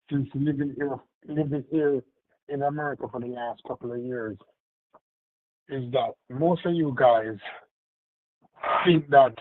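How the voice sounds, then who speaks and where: Jamaican man on a tinny phone line. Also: medium pitch. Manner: earnest, apologetic about his accent. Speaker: caller. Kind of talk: phone-in political chat.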